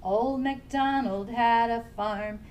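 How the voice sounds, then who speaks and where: A woman children's librarian leading a family storytime, singing nursery songs in English and simple Spanish and gently encouraging young children to join in. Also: on the high side, slow pace, slight background noise. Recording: somewhat distant from the mic, faint music.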